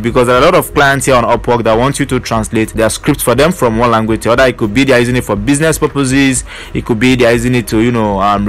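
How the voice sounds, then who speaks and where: Chatty man walking through a tutorial. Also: mid-range voice. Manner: conversational, leans on "basically" and "you know". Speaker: online money-making tutor. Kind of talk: screen-share tutorial walkthrough.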